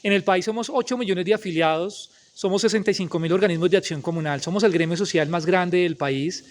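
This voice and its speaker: A man's voice: high voice, fast talker. A man, asking long, emphatic interview questions. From Colombia.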